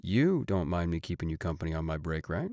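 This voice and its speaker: A man's voice: sits low, big pitch swings, brisk, warm tone, no room sound, clean studio sound. A man playing a vain, playful idol singer in a scripted roleplay audio drama, teasing with casual swagger. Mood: flirty. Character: cocky, flirty male idol band singer, playful and vain. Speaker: voice actor.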